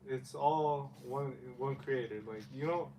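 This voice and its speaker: A man in a mosque class asking the teacher a question, halting and searching for his words. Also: medium pitch, mellow. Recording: distant from the mic with faint background hum.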